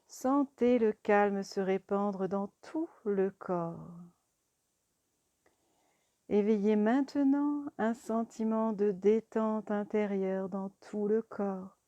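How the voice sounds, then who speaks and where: A woman guiding a yoga relaxation meditation in a calm, soothing, deliberate manner. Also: mid-range voice, no room sound. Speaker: yoga nidra teacher.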